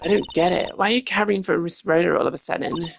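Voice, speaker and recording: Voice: medium pitch, fairly even intonation, fast, gravelly, noisy background, close to an everyday voice. A performer voicing a ditzy, sleepy, evasive young female flight attendant in a mystery game, airy and casual with feigned innocence. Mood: wheedling, sly. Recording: game music behind the voice.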